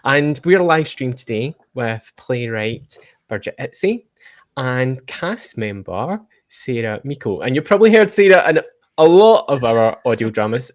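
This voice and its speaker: Podcast host, male, Scottish accent, medium pitch, casual chat delivery.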